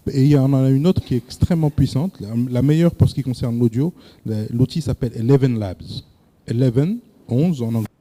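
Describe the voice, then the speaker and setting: Very dark-voiced man presenting, halting. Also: medium pitch. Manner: hesitant, repeats words and says euh often; addresses the audience directly with questions. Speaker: workshop presenter. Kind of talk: lecturing to a live audience.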